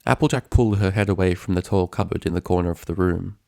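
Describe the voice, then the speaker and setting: A man's voice: low voice, fast, gravelly, warm tone, dry recording, studio quality. A man reading a story aloud as a scripted narration. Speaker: fan fiction narrator.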